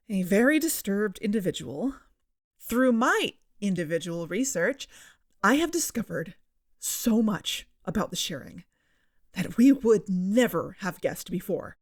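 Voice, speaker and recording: A woman playing a defensive, self-assured investigative podcast host with a deliberate, insistent manner. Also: mid-range voice, no room sound, studio quality. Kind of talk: scripted narration in audio drama.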